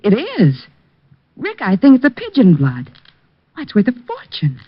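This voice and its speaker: An actress playing a detective's excitable, wide-eyed sweetheart in an old radio drama, full of amazement and eager questions. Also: female voice, medium pitch, moderate pace, slightly rough. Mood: amazed. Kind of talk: 1940s radio drama acting. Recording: dull vintage radio recording.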